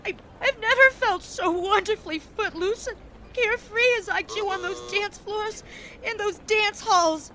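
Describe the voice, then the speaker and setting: An actress playing a spirited young high-society woman of the 1920s in an audio drama, effusive and theatrical with a wistful streak. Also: bright, forceful tone, exaggerated voice, poor audio. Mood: wistful, tearful. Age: young adult. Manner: effusive, theatrical, halting when emotional. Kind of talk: period audio drama acting.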